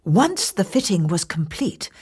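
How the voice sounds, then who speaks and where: Slow, deliberate woman storyteller. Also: lowish voice, sing-song, a little mellow, slightly rough. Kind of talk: scripted children's fairy-tale narration.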